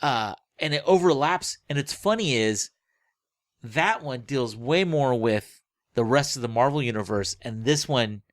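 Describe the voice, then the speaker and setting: A man co-hosting a podcast, chatting casually about books in a rambling, halting way that often trails off mid-phrase. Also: mid-range voice, animated, dry recording. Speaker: podcast co-host. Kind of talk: casual book-club chat.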